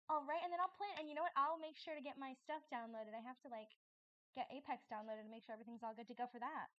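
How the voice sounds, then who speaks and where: A woman with a high voice talks casually to her live stream audience. She is chatty and greets viewers with exclamations. The sound is dry, with no room echo, and slightly dull and lo-fi. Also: steady tone, fast, fairly bright tone.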